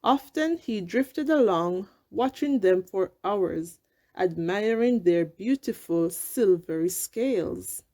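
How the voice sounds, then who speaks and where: Slow-paced woman reading a children's storybook. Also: medium pitch, animated, warm tone. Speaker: storybook reader. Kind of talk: children's read-aloud narration.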